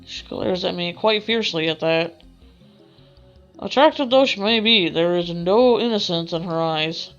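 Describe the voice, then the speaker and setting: A woman's voice: fairly low pitch, unhurried. A woman game streamer reading visual novel narration aloud in a theatrical way. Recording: dull audio with faint music.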